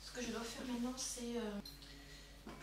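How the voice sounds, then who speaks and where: A woman in an ASMR dentist roleplay, speaking softly and often in whispers, gently reassuring a patient. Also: a little high, steady tone, normal speed. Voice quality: soft, often breaking into unvoiced whisper. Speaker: ASMR performer playing a dentist. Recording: clear background noise with clicking sounds.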